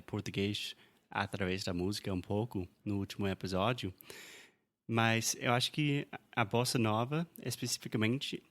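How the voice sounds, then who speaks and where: A man whose first language is English hosts a relaxed chat in Portuguese, choosing his words with care. His voice sits fairly low. He sounds easygoing and casual. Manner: careful learner's Portuguese, relaxed, laughs easily. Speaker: podcast host and English teacher. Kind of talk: casual conversational interview.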